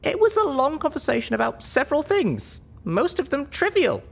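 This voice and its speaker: Guy doing a little girl pony hero, way high voice, earnest and indignant, audiobook style. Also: wildly swooping pitch, moderate pace, vocal fry, full character voice. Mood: probing. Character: small young female pony, earnest, stubborn, indignant heroine.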